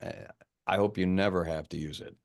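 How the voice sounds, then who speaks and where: A man playing a driven, rattled detective in a film script read-through, with halting speech and repeated words. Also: low voice, steady tone. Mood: urgent, reassuring. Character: driven, rattled male detective obsessed with his case. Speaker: actor at a script table read.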